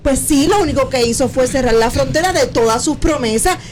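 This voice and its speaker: High-pitched argumentative female co-host. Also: fairly quick, darkish tone, a little hiss or hum. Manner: argumentative, presses questions. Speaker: radio co-host. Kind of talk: radio talk show.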